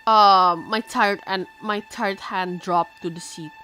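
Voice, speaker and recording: A woman with an Indonesian accent, a native Indonesian speaker, streaming as a VTuber, reading a visual novel aloud and chatting with viewers, expressive and quick to react. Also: medium pitch, poor audio. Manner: expressive, reacts loudly, switches quickly between reading and chat. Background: game music.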